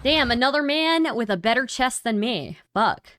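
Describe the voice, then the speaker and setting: A woman's voice: fairly high pitch, lively intonation, moderate pace, a bit bright. A woman doing casual livestream commentary in a slangy, repetitive, joking way.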